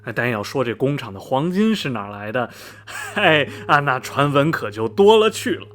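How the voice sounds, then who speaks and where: Man acting animated, gossipy railway station worker. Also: mid-range voice, normal speed. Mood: gossipy, knowing. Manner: folksy, rambling, full of sighs and interjections. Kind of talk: Mandarin radio drama dialogue, storytelling. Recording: music behind the voice. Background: background music.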